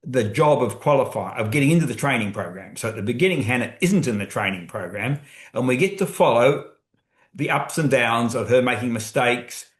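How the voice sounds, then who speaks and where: A man's voice: mid-range voice, expressive, brisk. A man speaking as a co-author in a webinar, explaining at length and restarting phrases as he thinks aloud. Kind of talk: webinar talk. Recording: roomy echo.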